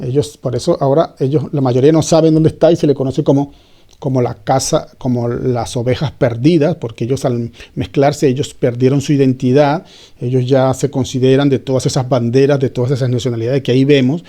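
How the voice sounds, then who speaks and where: Man, bible teacher, conversational, mellow tone, tag questions, self-corrections. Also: medium pitch. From Spain.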